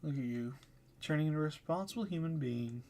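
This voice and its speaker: A man's voice: on the high side, quick pace, darkish tone, a little hiss or hum. A man casually reading aloud and commenting as he streams a game, a little hesitant in places. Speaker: streamer playing a game live. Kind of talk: reading visual novel dialogue aloud, livestream. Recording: game music under the voice. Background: game music.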